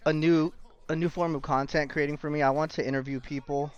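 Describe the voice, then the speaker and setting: Relaxed man hosting a stream podcast. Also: fairly high pitch, quick pace, dry recording, dull audio. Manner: relaxed, friendly, clears throat often. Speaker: podcast host. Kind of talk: casual interview hosting.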